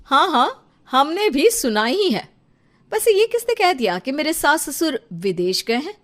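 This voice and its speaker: Condescending elder sister-in-law played by a woman, cartoon braggart with high, animated speech. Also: unhurried, full character voice. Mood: defensive. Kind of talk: cartoon story character acting.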